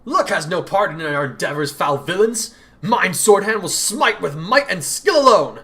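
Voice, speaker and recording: A man's voice: sits high, sing-song, normal speed, sharp tone, pitched above the performer's own voice, exaggerated voice. A man voicing a boastful young swordsman with a pompous, declaiming delivery.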